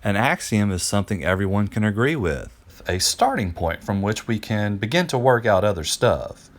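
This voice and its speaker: An eager, patient ancient Greek scholar played by a man reading a storybook. His voice is on the low side and swings widely in pitch as he explains things with emphasis. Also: lively intonation, normal speed, natural voice. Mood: eager explaining. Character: Euclid, patient, enthusiastic ancient Greek man teaching friends.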